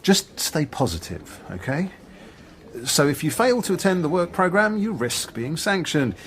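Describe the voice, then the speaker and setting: An actor playing an officious, impatient job centre adviser in a radio play, reciting rules in a bureaucratic manner. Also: male voice, fairly high pitch, sing-song, unhurried, a bit bright, a little hiss or hum. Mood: officious, dismissive.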